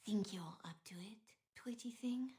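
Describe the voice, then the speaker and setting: A woman's voice: mid-range voice, measured pace, dark tone, lightly acted. An actress playing a commanding, teasing female spymaster in a video game cutscene. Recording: music behind the voice. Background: music.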